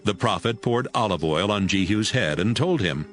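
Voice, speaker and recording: A man's voice: lowish voice, expressive, normal speed. A man reading scripted narration for a dramatized audio Bible. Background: music.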